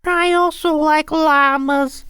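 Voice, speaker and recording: A very high, nasal cartoon boy, nerdy and awkward. He talks slowly and earnestly, in a pinched voice. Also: steady tone, sharp tone, dry recording, studio quality, heavily acted. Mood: dreamy, earnest.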